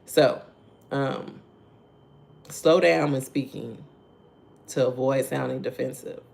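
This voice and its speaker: A soft-spoken woman with a Texan accent, leading a slow, conversational morning devotional and greeting viewers by name. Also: extremely low pitch, steady tone, gravelly. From Texas. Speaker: devotional host. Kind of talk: live-streamed morning devotional.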